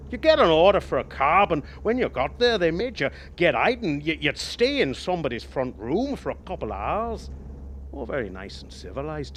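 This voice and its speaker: An actor plays a man, once a cab driver, haunted by what he remembers. His halting, emotive radio-play storytelling swoops widely in pitch. Faint vehicle noise sits behind his voice. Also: a bit bright. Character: haunted former cab driver, man, reliving a grim memory. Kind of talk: dramatic radio play monologue.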